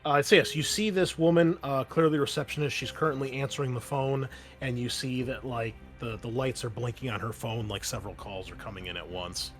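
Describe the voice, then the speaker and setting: Man as tabletop game master, mid-pitched, conversational and halting, light music behind. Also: steady tone, fairly bright tone. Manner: casual, conversational, halting narration. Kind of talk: narrating a tabletop roleplaying game.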